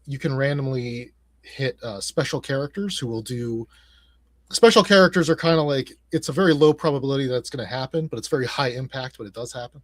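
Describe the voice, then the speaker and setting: A man speaking as an interview guest, casually explaining his work and thinking aloud in halting phrases. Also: medium pitch, dry recording. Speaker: game designer, interview guest.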